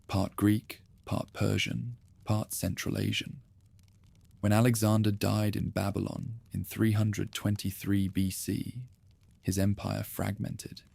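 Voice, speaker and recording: Gravelly low-voiced male history narrator. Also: no echo. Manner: soft, even, unhurried delivery. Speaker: documentary narrator. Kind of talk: slow calm scripted history narration.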